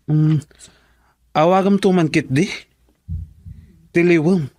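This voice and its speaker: A man's voice: a little high, steady tone, takes it slowly, a little mellow, dry recording. A man with an Ilocano accent playing a chatty friend in a radio drama.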